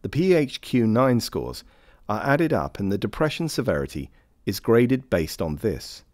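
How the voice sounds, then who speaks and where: A man reading scripted instructional narration for a training film in a measured, formal, carefully enunciated way. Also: lowish voice, big pitch swings, darkish tone, no room sound, studio quality.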